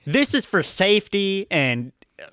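A man's voice: sits high, very animated, measured pace, fairly bright tone, smooth, dry recording, clean studio sound. A man playing a tabletop role-playing game, bantering casually in a joking, self-justifying way.

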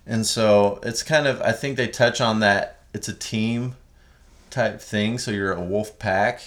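A man's voice: on the low side, steady tone, a little slow, a bit bright. Chatty man with a slight Southern lilt. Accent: slight Southern American. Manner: chatty, explains research with many fillers. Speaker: podcast co-host. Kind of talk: casual sports chat.

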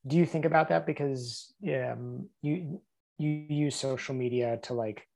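A man's voice: medium pitch, fairly even intonation, measured pace, gravelly, dark tone. A man interviewing a guest in a casual chat. He hesitates a lot, restarts his sentences and fills them with "like". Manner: hesitant, many restarts and fillers.

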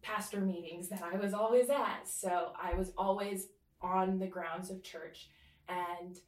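A woman with a mid-pitched voice speaks as an interview guest, sharing personal history in casual, hesitant phrases. She sounds set back from the microphone, with noticeable room echo around her voice. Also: fairly even intonation, unhurried. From Hawaii, California and American Samoa. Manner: self-conscious, hesitant, laughs easily. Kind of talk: candid personal storytelling in casual chat. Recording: echoey room, distant from mic.

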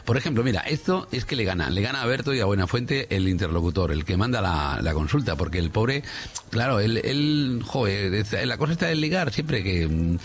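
A man's voice: on the low side, animated, brisk. A man with a Spanish accent from Spain chatting casually and humorously on the radio, rambling, repeating himself and checking for agreement. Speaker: radio show contributor.

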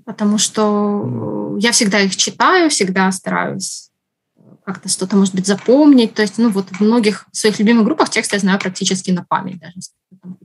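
A woman's voice: mid-range voice, a little fast, creaky, a little hiss or hum. A woman guest on a podcast, chatting casually and laughing often as she talks, with many restarts along the way. Russian is her first language. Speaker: podcast guest, writer and journalist.

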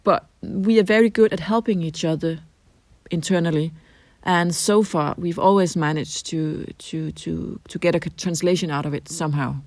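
A middle-aged Danish woman with a Danish accent, answering interview questions in a measured way with frequent ums and restarts. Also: on the low side, fairly even intonation, darkish tone, no room sound. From Denmark. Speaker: translator interviewee.

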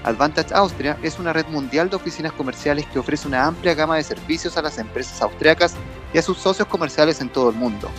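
Formal male podcast host, slightly high voice. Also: quick pace, over music. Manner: formal, read from a script. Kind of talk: scripted introduction and questions.

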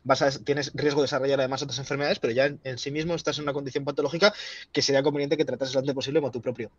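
Man, rapid speech, emphatic, repeats himself, conversational expert, muffled recording. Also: mid-range voice, steady tone, dry recording. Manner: emphatic, blunt, repeats words for stress. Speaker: interview guest, pharmacist and powerlifting coach.

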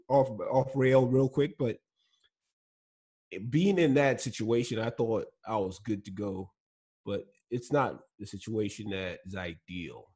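A man from Nigeria with Igbo as his mother tongue talks through his past in a relaxed interview. His voice sits on the low side with a husky edge. He tells his stories earnestly and laughs between points. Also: a little slow. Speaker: guest. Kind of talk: casual interview talk.

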